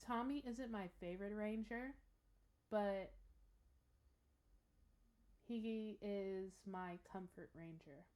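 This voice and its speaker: A woman's voice: medium pitch, slow pace, long pauses, a little mellow. A woman hosting a reaction series, talking casually and personally to her viewers.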